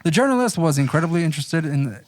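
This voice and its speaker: A man's voice: fairly high pitch, lively intonation, quick pace, dry recording. A man co-hosting a casual podcast, reading from an article and commenting on it. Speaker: podcast co-host.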